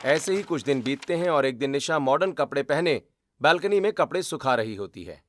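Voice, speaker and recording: Animated male storyteller with swooping pitch. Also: brisk. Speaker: story narrator. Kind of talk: scripted story narration.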